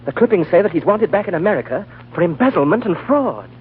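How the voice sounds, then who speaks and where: A man's voice: high-pitched, very animated, fast. A man playing an impatient, suspicious young man in a 1940s radio drama, urgent and reproachful. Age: young adult. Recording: old narrow-band radio recording, faint noise.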